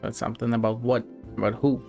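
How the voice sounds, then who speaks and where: A man streaming a game with offhand, blunt commentary and some swearing. His voice has a heavy creak, and game music plays faintly behind him. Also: mid-range voice, level tone, fast talker, a little mellow, dull audio. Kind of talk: casual live game commentary, reading dialogue aloud.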